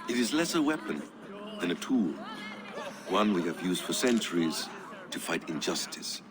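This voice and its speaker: An actor playing a courteous, measured master of a secret assassin brotherhood in a video game, eloquent and philosophical. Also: male voice, deep voice, very animated, a crowd in the background. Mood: instructive, calm. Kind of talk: video game cutscene acting.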